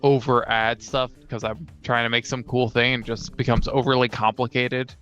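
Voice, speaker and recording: A man's voice: medium pitch, level tone, normal speed, fairly bright tone, dull audio, faint music behind. A man co-hosting a casual chat about tabletop role-playing, talking as a player in an informal way. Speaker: podcast co-host and tabletop player.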